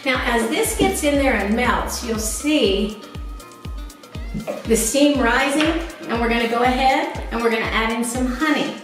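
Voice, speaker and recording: Woman in her forties, cooking show host, upbeat and chatty, fairly high voice, very echoey kitchen, slightly distant. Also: animated, a little slow, music in the background. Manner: chatty, upbeat, talks viewers through each step. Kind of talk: cooking demonstration to camera. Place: home kitchen, indoors.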